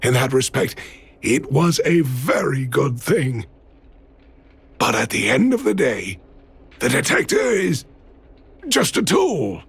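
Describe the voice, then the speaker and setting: A gravelly, gentle miner dad. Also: male voice, medium pitch, big pitch swings, slow, pauses often, full character voice. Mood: gently explaining. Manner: tender, reassuring. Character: gentle, loving working-class miner father. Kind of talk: video game voice acting.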